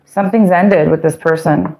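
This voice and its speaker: A woman's voice: on the low side, fairly even intonation, normal speed, a little mellow, poor audio. A woman giving a casual tarot reading, rambling with restarts as she reads cards, losing her voice. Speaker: tarot reader.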